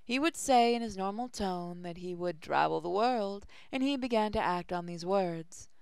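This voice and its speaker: Creaky-voiced woman reading a game aloud. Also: mid-range voice, normal speed, darkish tone, no echo, clean studio sound. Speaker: gaming channel host. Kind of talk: reading visual novel text aloud, with casual asides. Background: music.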